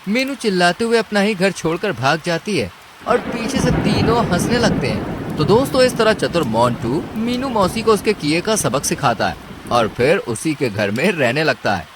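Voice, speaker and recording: Male narrator for cartoon tales: fairly high voice, very varied intonation, scripted storytelling. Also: big pitch swings, moderate pace, very noisy.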